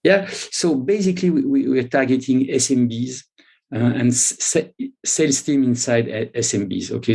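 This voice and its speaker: A man with a French accent, a native French speaker, answering as an interview guest in halting, repetitive conversational English. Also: mid-range voice, gravelly, darkish tone. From France. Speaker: interview guest, startup CEO.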